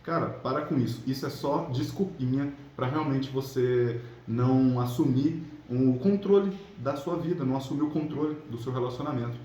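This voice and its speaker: A man's voice: medium pitch, moderate pace, few pauses, warm tone. A Brazilian man giving casual motivational relationship coaching, chatting colloquially to viewers and checking in with little tag questions. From São Paulo state, Brazil. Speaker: relationship coach, channel host. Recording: roomy echo, clear background noise.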